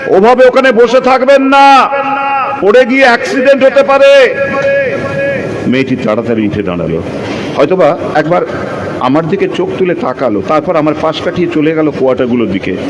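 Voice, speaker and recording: An actor playing a solitary, reflective lighthouse keeper in an audio play, narrating in literary with a measured, expressive delivery. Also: male voice, mid-range voice, slight background noise, lightly acted. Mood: urgent, calling out. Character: solitary, reflective Bengali lighthouse keeper telling his story. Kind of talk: literary first-person dramatic narration.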